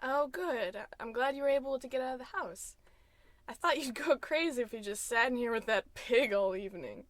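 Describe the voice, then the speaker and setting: A woman's voice: on the high side, lively intonation, normal speed, no echo, lightly acted. A woman playing a worried, exasperated wife in old-time radio drama style, emotive and pleading. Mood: concerned, puzzled.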